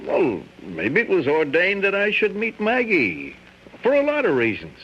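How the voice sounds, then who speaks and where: A man's voice: sits high, huge pitch swings, measured pace, slightly rough, exaggerated voice. An actor playing a resourceful, persuasive schemer in a radio drama, insinuating his way along. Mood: bashful, ingratiating. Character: resourceful, smooth-scheming man feigning bashful helpfulness. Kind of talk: old-time radio drama acting. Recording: muffled radio recording.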